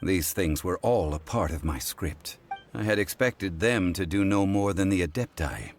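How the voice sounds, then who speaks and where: Calm ancient deep-voiced god. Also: male voice. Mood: composed. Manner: measured, formal, unhurried. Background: music.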